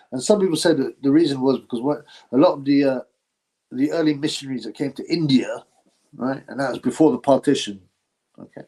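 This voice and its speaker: A man's voice: mid-range voice, darkish tone, rough recording. A man hosting a casual live stream, rambling, reading chat and laughing often between filler words. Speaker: boxing channel host reading chat.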